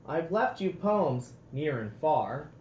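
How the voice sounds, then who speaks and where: A man plays an earnest, lovestruck slug from a children's storybook. He recites rhyming notes slowly and sincerely, with a lilting, swinging pitch in a roomy echo. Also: big pitch swings, darkish tone, highs cut off. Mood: yearning. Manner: sincere, singsong rhyming delivery. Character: earnest, lovestruck male slug writing poems. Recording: roomy echo, faint background noise.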